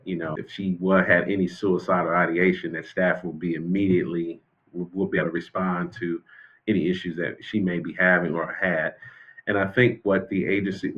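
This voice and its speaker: An American man speaking as an expert interview guest and former prison official, explaining things in a measured, conversational way. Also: low-pitched, fairly bright tone, lo-fi sound. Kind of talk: unscripted interview commentary.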